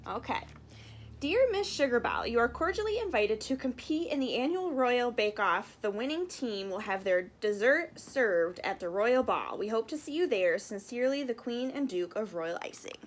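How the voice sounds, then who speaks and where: A woman speaking casually and reading a picture book aloud to children in an expressive, friendly way. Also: fairly high pitch, normal speed. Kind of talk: casual chat and children's storybook read-aloud.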